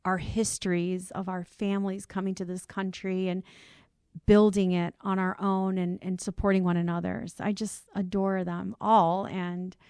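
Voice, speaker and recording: A woman's voice: medium pitch, normal speed, clear, resonant tone, dry recording, clean studio sound. A woman with a Cuban accent, a business owner guest on a podcast, telling her story in an earnest, heartfelt way. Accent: Cuban Spanish. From Cuban family, grew up on the US East Coast. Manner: earnest, emotional, lively when amused. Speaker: podcast guest, insurance brokerage CEO. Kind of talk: conversational interview storytelling.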